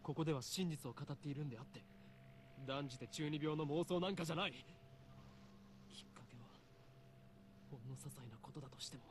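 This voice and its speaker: Actor as fast, intense, brooding young man. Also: fairly high pitch, background noise, lightly acted. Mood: grave, intense. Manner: theatrical, portentous. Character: intense young male narrator brooding over fate, grave and dramatic. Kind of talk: Japanese visual novel dramatic monologue. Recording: game audio with music over it. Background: prominent game music.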